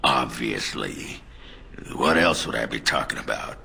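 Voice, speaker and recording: Casting: boozy middle-aged dwarf, fantasy game. Gravel-throated and forceful, crude humor. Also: male voice, animated, measured pace, slight background noise, heavily acted. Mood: dry. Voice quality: very deep gravelly growl. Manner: coarse, teasing, blunt. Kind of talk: fantasy video game voice acting.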